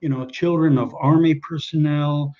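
Older man, halting, dull call audio. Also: on the high side, steady tone, a little mellow. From Kenya. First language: Czech. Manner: rambling, many hesitations and restarts. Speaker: interview guest. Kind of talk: video call interview. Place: small indoor room at home. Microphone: webcam on a video call.

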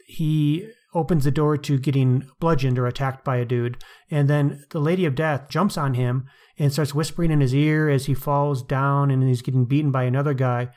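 A man's voice: medium pitch, steady tone, normal speed, darkish tone. A man co-hosting a podcast, talking casually and wryly about books with slangy asides. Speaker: podcast co-host. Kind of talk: casual book discussion.

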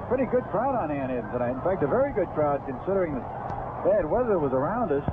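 A man's voice: fairly high pitch, big pitch swings, fast talker. A man calling baseball play-by-play live on the radio in short, clipped phrases from a stadium booth. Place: broadcast booth at outdoor stadium. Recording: tinny, very noisy 1970s AM radio broadcast.

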